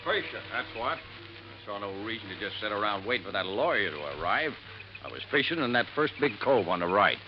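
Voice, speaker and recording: A shifty, stammering suspect. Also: male voice, on the low side, very animated, brisk, muffled recording, over music. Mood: evasive. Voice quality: evasive, stammering. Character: shifty, defensive man, suspect. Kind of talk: 1950s radio drama acting. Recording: noisy old radio broadcast.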